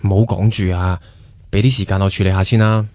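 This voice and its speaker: Easygoing hk cantonese big brother, young guy, lowish voice, dry sound, radio drama. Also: little pitch movement, fast, a little mellow, no room sound. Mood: calm, guarded. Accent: Hong Kong Cantonese. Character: easygoing, guarded older brother.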